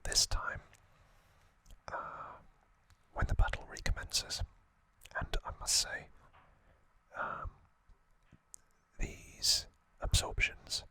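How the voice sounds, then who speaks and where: A man hosting ASMR, whispering trivia narration in a breathy, unvoiced voice with soothing, deliberate short phrases. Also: sharp tone, dry recording. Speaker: ASMR host. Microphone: close microphone.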